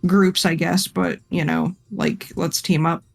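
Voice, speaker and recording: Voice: medium pitch, measured pace, vocal fry, soft background music. A player in a tabletop game who asks questions hesitantly, with many fillers. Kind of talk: casual tabletop roleplay chat.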